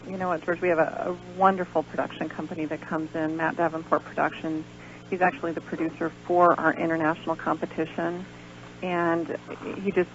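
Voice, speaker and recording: A woman phoning in as a guest on a radio interview, talking in an earnest, encouraging way. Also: deep voice. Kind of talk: live promotional radio interview chat. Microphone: calling in by phone. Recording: lo-fi phone-in on radio, noisy hum.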